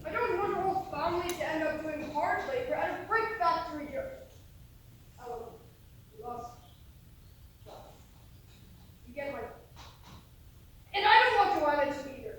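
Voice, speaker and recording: A woman's voice: very high-pitched, expressive, moderate pace, pauses often. A woman acting as a suspicious, stubborn young emigrant in a stage play, insistent and agitated. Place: theatre stage, recorded from a distance. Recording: very echoey hall, distant mic, faint noise.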